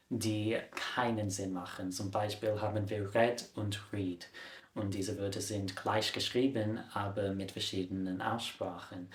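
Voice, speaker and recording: Casting note: a low-voiced man, a casual vlog-style explainer, recorded in an echoey room. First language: English. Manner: chatty, corrects his own slips with a laugh. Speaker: language vlogger. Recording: roomy echo.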